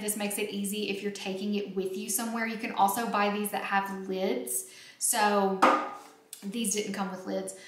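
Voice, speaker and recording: A woman's voice: mid-range voice, fairly bright tone. A woman in her thirties hosting a casual home baking demonstration, chatting informally and directly to viewers. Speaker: home baking vlog host. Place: home kitchen, indoors. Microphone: camera a few feet away. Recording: echoey kitchen, background noise, dish clinks.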